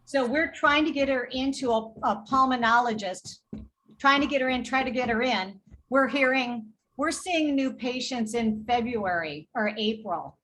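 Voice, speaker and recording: Woman, podcast guest, animated storyteller, acts out dialogue, quick bursts of detail, somewhat high voice. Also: a little hiss or hum, low-quality recording. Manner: animated, re-enacts dialogue while telling a story. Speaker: healthcare advocate guest.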